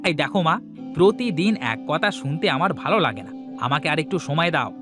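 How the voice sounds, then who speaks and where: Man acting a grumbling, animated young village layabout. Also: on the high side, a little fast, over music. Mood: fed up. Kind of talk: Bengali comic cartoon dialogue.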